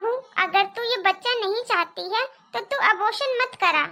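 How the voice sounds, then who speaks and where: Woman as very shrill, pleading cartoon mother-in-law. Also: moderate pace, bright, forceful tone, a little hiss or hum. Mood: persuasive. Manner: pleading, lamenting, persuasive. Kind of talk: cartoon family drama acting.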